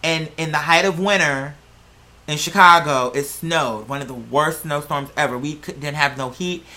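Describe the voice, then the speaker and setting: Someone doing a hushed, awestruck celebrity client. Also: mid-range voice, voice pushed down. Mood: hushed amazement. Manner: hushed, slow, halting, amazed. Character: awestruck celebrity client solemnly recalling childhood hardship.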